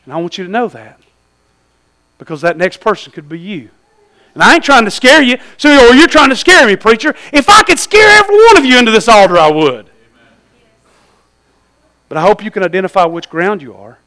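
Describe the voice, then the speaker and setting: Man, Southern US accent, very high pitch, bright forceful tone, folksy evangelistic preacher, exhortatory, repetitive phrasing. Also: expressive, a little fast, pauses often. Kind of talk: evangelistic church sermon with questions to the congregation.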